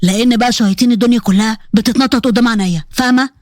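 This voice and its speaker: A woman's voice: fairly high pitch, racing, a little mellow, no room sound, clean studio sound, voice pushed down, lightly acted. A woman voicing a menacing, impatient young husband in an audio story, with clipped, commanding speech. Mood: threatening.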